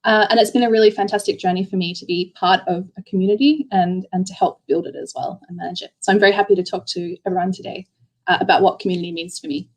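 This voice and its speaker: A woman's voice: on the low side, fairly even intonation, fast, low-quality recording. Fluent woman academic on a video call. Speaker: associate professor, community co-manager. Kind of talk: online panel talk.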